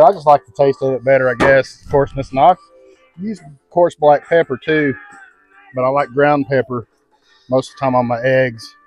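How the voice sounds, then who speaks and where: A man's voice: medium pitch, a little mellow, rough recording. A man chatting casually and folksily as he hosts an outdoor cooking video, given to approving exclamations. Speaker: cooking vlog host. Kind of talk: casual chat while cooking. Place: outdoor patio. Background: radio music playing.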